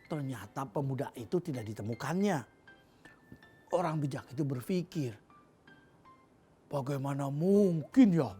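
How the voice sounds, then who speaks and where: An Indonesian woman narrating a children's fairy tale in an animated, expressive way with dramatic pauses. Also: mid-range voice, slightly rough. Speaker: children's storyteller. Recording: music behind the voice. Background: music.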